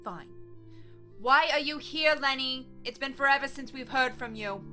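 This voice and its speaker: A woman's voice: sits high, moderate pace, sharp tone, soft background music. An actress playing a wary, combative young woman in a naturalistic audio drama, blunt and challenging. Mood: suspicious. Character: Faith, wary combative young woman refusing to open the door.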